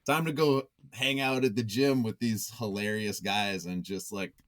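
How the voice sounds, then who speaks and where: A man with a fairly low voice talks casually as an interview guest. His manner is goofy and self-deprecating. The sound is dry, with very little room in it. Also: steady tone, normal speed, a bit bright, dry recording. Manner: self-deprecating, goofy, chuckles often while talking. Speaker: interview guest, writer and journalist.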